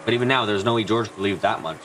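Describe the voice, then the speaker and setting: Fast-talking man narrating over music. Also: on the low side. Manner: stumbles over lines while reading. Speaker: fan dubber doing a let's play stream. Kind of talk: reading visual novel script aloud. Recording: music behind the voice. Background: game music.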